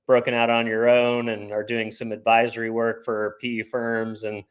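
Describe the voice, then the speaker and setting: A man hosting an interview, reading a guest introduction and then asking questions in a steady, even manner. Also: mid-range voice, normal speed, clean tone. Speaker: podcast host.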